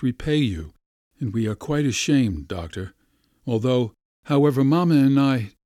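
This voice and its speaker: A man's voice: medium pitch, mellow, no echo, studio quality, pitched above the performer's own voice. A man voicing an earnest, insistent young man who pleads effusively, in a raised voice.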